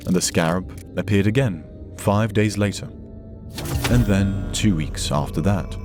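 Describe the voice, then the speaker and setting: A man with a very low voice narrates scripted fiction. His telling is measured and solemn, and music plays clearly behind him. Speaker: story narrator.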